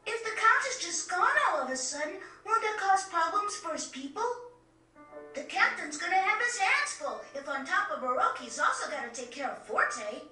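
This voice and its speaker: Small earnest boy, bright childlike voice, hesitant, sincere, video game dialogue, distant from mic. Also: very high voice, very animated, normal speed, heavily acted. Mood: worried. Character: earnest, gentle, worrying little boy. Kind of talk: video game cutscene acting. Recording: very echoey, distant, faint music.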